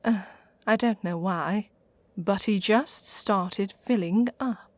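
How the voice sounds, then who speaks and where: A woman's voice: mid-range voice, slow, no echo, natural voice. A woman with an English accent playing a haughty, theatrical lady witch who hosts a radio sitcom in an arch, bossy way. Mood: grand, droll. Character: haughty, theatrical lady witch hosting from her haunted castle. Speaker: host.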